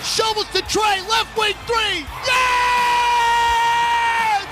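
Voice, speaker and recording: Shouting male play-by-play announcer, roaring crowd. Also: extremely high pitch, level tone, very slow. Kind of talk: excited live radio commentary. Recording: game broadcast, roaring crowd, music overlaid. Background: loud crowd and music.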